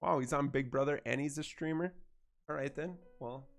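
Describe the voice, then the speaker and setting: Congested, gravelly-voiced male streamer. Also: fairly high pitch, normal speed, soft background music. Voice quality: nasal. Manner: easygoing, chatty, thanks viewers warmly. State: congested from a head cold. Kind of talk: casual gameplay livestream chat.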